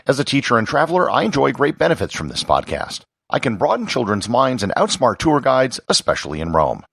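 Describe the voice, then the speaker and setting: An American man from Wisconsin hosting a podcast, delivering scripted educational narration in a husky, gravelly voice with measured, emphatic phrasing. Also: medium pitch, wildly swooping pitch, a bit bright, dry recording. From Wisconsin, United States.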